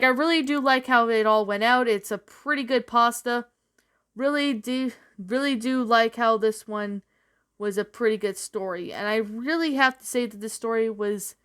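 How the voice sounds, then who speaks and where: A woman's voice: fairly high pitch, fairly bright tone, clear, resonant tone. A woman giving a casual, unscripted review in a rambling, repetitive way, as a story narrator talking to followers.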